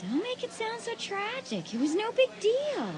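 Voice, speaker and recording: A self-centred, unapologetic teenage girl in an audiobook drama. She sounds high and very animated, breezily brushing people off, with busy chatter in the background. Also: normal speed, exaggerated voice. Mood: dismissive.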